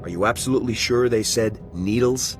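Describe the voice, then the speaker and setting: A man's voice: medium pitch, a little fast, lightly acted. An actor playing a serious male squad commander who speaks tersely and bluntly. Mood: stern. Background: music.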